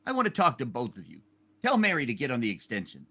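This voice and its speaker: A man's voice: medium pitch, huge pitch swings, fast, pushed and bright, slightly rough, heavily acted. A man playing a brash, jovial young businessman, boastful and hammy, in an amateur radio play reading. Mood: brash, excited.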